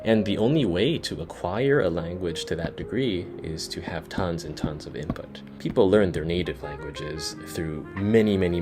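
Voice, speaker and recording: A young man giving language learning tips in a relaxed, conversational way, often saying "you know". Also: deep voice. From United States. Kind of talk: casual explainer to camera. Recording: soft guitar music underneath.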